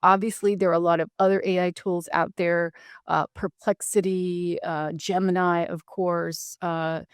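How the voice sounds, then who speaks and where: A woman's voice: fairly low pitch, little pitch movement, measured pace, smooth, no echo, clean studio sound. A woman hosting an interview podcast, explaining things to listeners in a friendly way. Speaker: podcast host.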